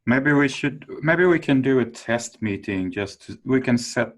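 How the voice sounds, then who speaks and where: A man's voice: mid-range voice, animated, a little slow. A man chairing an informal work conference call, hesitantly thinking aloud. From Sweden. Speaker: meeting chair.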